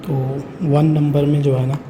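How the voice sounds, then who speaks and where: A man's voice: on the high side, flat delivery, measured pace. A man giving a casual hands-on how-to demonstration, explaining step by step with frequent check-in phrases. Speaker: tutorial host showing an intercom installation. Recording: clearly noisy room recording.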